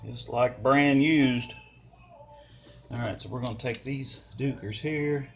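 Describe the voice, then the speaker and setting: An older man narrates DIY repair work. He talks in a folksy, colloquial way, often muttering to himself as he works. His pitch is average for a man, and music plays clearly behind his voice. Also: slow pace. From United States. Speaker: DIY mechanic, video host. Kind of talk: casual hands-on repair narration.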